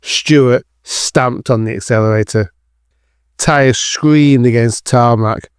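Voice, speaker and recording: A man's voice: medium pitch, slow, clear, resonant tone, no room sound, clean studio sound. A man narrating a scripted dramatic thriller as the storyteller of an audio drama.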